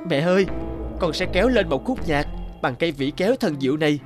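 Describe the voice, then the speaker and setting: A man's voice: on the high side, expressive, a little fast, close to an everyday voice. A man playing an earnest, dramatic young hero and devoted son in a fairy-tale cartoon. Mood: hopeful, anxious. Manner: dramatic, talks to himself. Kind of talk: Vietnamese dubbed fairy-tale cartoon acting. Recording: music behind the voice. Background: background music.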